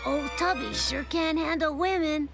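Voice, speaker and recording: A woman's voice: high voice, measured pace, gravelly. A woman voicing a naive, puzzled little boy in a cartoon, who sounds full of wonder. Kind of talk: cartoon voice acting. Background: cartoon music.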